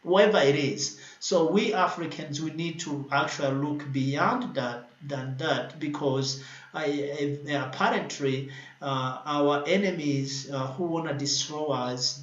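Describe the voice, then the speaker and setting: Man, panel host, halting speech with fillers, a little high, roomy echo, muffled video-call sound. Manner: hesitant, many fillers and restarts. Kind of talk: closing remarks in an online panel. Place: home in Addis Ababa, at night.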